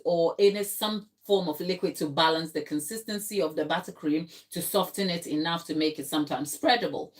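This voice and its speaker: Low-voiced Ghanaian woman hosting a baking stream. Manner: chatty, explanatory, answers viewers' chat by name. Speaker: baking channel host. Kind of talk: live-stream cake tutorial and chat. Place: home kitchen.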